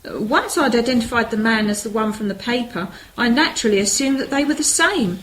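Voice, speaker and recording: A woman's voice: fairly high pitch, lively intonation, brisk, fairly bright tone, low-quality recording. A woman playing a polite, anxious and inquisitive wife in a radio play, with a hesitant, courteous manner. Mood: concerned, inquisitive. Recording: clear background noise.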